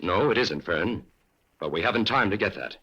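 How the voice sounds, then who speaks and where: An actor playing a brisk, determined male crime-fighting investigator in a 1940s radio drama. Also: on the low side, animated, pushed and bright, highs cut off, exaggerated voice. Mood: explaining. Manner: brisk, urgent, commanding.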